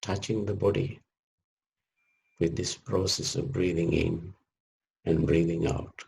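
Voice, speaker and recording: A man leading a guided meditation as a teacher, speaking gently in short measured phrases with long pauses. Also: low-pitched, flat delivery, gravelly, a little hiss or hum, poor audio.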